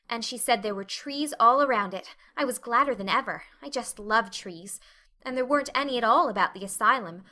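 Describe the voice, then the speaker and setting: A woman's voice: medium pitch, sharp tone, lightly acted. A woman voicing a talkative, dreamy, dramatic orphan girl with an earnest, effusive manner in an audiobook.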